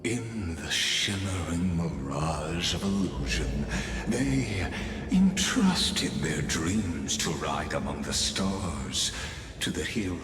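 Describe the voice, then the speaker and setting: A man voicing a solemn, grandiose game character who speaks in a measured, ceremonial, theatrical way. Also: low-pitched, lively intonation. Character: solemn, grandiose man delivering an epic toast and narration. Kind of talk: theatrical scripted game voice acting. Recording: echoey long reverb, background music.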